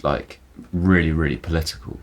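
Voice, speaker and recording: A man's voice: extremely low pitch, brisk. A man co-hosting a podcast, chiming in casually with an offhand question. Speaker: co-host.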